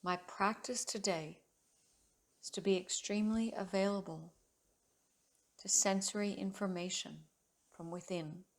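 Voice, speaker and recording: A woman leading a guided relaxation meditation as a yoga teacher, speaking in a soothing, measured way with long pauses between phrases. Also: mid-range voice, steady tone, vocal fry.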